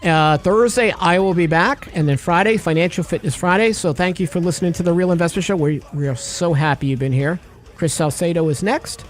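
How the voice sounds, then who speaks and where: A man's voice: on the high side, brisk, faint music behind. A man hosting a radio talk show, chatting casually and acting out little stories as he goes. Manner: animated storyteller, acts out little scenes.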